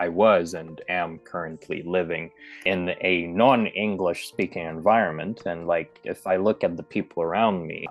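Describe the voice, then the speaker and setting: A young man whose first language is Russian talks at length in a very low, deep voice. He circles around his points with ums and restarts, reflective and a little rambling. Faint music plays underneath. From Belarus. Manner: thoughtful, wordy, hesitant with fillers. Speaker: English teacher, guest. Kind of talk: casual conversation.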